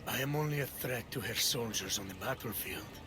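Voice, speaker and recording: A man's voice: medium pitch, brisk, close to an everyday voice. A man playing an aged Spartan warrior grandfather, wry and steely, in a video game. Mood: grave, steely. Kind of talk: video game cutscene acting.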